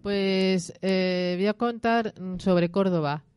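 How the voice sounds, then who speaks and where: Man, hesitant, halting speech, smooth clear voice, dry close sound. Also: sits high, steady tone, a little mellow, dry recording. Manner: hesitant, halting, full of fillers. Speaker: guest. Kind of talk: casual studio chat.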